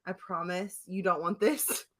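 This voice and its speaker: A woman's voice: lowish voice, unhurried. Slangy, laughing woman co-hosting a podcast. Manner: animated storytelling, acts out her own text replies. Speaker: podcast co-host. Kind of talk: casual comedic podcast chat.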